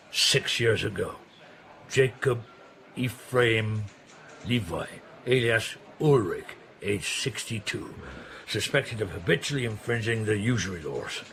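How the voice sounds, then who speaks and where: A man's voice: lowish voice, a bit bright, slight background noise. An actor playing a policeman in a radio drama, methodical and clipped as he goes through case records.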